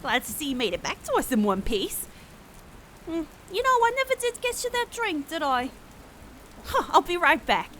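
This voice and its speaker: A woman acts as a doting big-sister goddess of wine in a fantasy audio drama. Her voice is high and lively, sing-song and teasing, over faint rain. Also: normal speed, a little hiss or hum. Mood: doting, cheerful.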